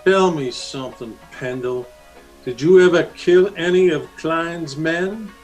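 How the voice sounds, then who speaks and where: A menacing American cowboy bully from a radio Western , acted by a man. He taunts in a slow, mocking drawl, pitched a little high, over faint music. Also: lightly acted. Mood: sly, probing. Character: menacing cowboy bully, sneering ex-Confederate guerrilla. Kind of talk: old-time radio Western acting.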